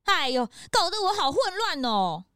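A woman voicing an excitable, easily confused male detective's assistant in a children's radio drama. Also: high-pitched, normal speed, no room sound, studio quality, heavily acted. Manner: wide-eyed, exclaims a lot.

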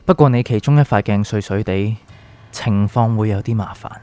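An actor playing a calm, enigmatic doctor in a radio drama, speaking Hong Kong Cantonese in a measured, probing way. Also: male voice, lowish voice, close to an everyday voice. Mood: cryptic, grave. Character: calm, cryptic male doctor with a secret identity. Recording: music underneath.

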